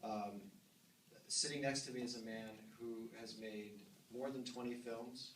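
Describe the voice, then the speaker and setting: A man's voice: medium pitch, fairly even intonation, unhurried, a bit bright. A man moderating an onstage interview, introducing his guest informally with hesitant, lightly joking phrasing. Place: festival stage with audience. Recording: echoey room, distant, some background noise.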